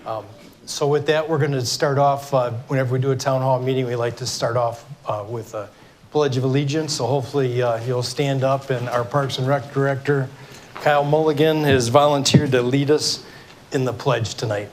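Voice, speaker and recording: A middle-aged man with a Michigan accent speaks at a city hall meeting, his voice scratchy from a cold. He talks loosely, with many "uh"s and restarts. His pitch sits in the middle of the male range, and faint room noise runs under the recording. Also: steady tone, moderate pace. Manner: informal, many fillers and restarts. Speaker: mayor moderating a town hall. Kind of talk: public meeting address. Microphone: microphone for televised recording.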